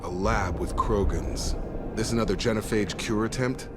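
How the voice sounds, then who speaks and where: A man's voice: lowish voice, normal speed, natural voice. A man playing a wary, wry squad mate in a video game. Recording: loud music behind the voice.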